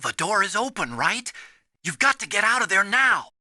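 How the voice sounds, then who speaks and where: An actor voicing a timid, soft-hearted young male scientist in a video game, earnest and anxious as he explains things. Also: sits high, very animated, moderate pace, no echo, studio quality, exaggerated voice. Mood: helpful.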